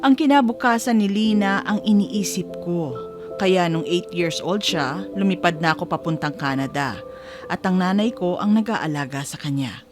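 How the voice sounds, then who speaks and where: A woman's voice: lowish voice, normal speed, music in the background, natural voice. A woman playing a homesick, devoted Filipino mother who narrates her own story in an audio drama. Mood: earnest.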